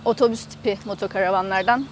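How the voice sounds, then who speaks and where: A woman's voice: mid-range voice, fast talker, slight background noise. A woman in her thirties giving a chatty, casual guided tour to camera outdoors, explaining things as a travel vlogger. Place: outdoors in an open camper park lot.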